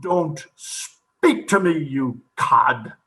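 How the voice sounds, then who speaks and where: A man playing a pompous, excitable psychiatrist in an old-time radio comedy, speaking theatrically. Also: fairly high pitch, very slow, heavily acted. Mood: grave.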